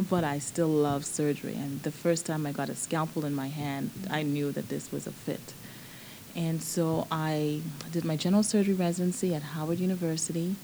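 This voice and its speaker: A woman surgeon in her forties, answering interview questions in an animated, emphatic way. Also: sits low, a little hiss or hum, low-quality recording. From Bahamas.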